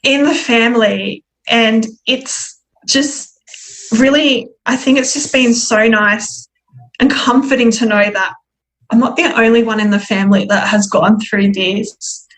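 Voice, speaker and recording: A woman guest telling her personal story in conversation, reflective and candid, with a halting delivery. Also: on the high side, a bit bright, poor audio. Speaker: podcast guest.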